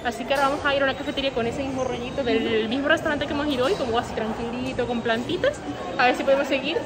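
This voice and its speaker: A woman's voice: sits high, rapid-fire. A woman chatting casually and upbeat while vlogging outdoors on a busy city street, filmed on a handheld camera. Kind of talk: casual travel vlog chat. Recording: music and crowd noise behind.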